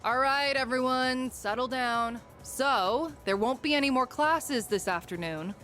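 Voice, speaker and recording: Female teacher for a game; bright, unhurried, projected classroom announcements. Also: high-pitched, soft background music. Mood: businesslike. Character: female schoolteacher addressing her class. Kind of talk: video game voice acting.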